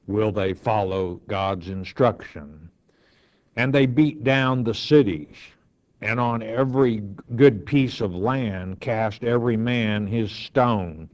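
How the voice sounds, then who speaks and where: Slow, low-voiced older male pastor. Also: darkish tone. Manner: reads scripture aloud then explains it plainly, with fillers. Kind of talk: verse-by-verse Bible teaching. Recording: dull lo-fi broadcast sound.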